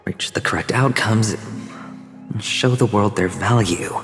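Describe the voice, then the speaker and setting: A man voicing a smooth, cocky young gambler and schemer in a video game, theatrical and teasing, with deliberate dramatic pauses. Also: on the low side, fairly bright tone, soft background music. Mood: calculating, proud. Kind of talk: video game cutscene acting.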